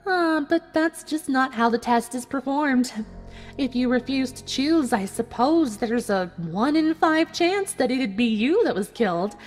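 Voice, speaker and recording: A woman voicing a cruel, smug cartoon unicorn villain who taunts her captives with calm menace in a dramatic audio story. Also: sits high, measured pace. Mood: taunting. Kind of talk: dramatic fan fiction audio reading. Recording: music behind the voice.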